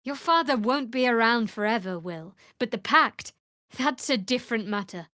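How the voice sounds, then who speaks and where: An actress playing a guarded, wry young cleric of darkness in a video game, speaking in a measured way. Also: female voice, a little high, pushed and bright, dry recording, studio quality.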